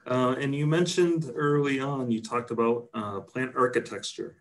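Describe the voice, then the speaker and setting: A man's voice: medium pitch, fairly even intonation, moderate pace. A middle-aged man hosting a virtual interview over a video call, friendly and full of ums. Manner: friendly, filler-heavy. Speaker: host.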